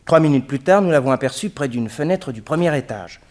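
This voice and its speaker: An actor playing an eager young male assistant in a radio drama, reporting briskly. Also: mid-range voice, lively intonation, a little mellow, close to an everyday voice.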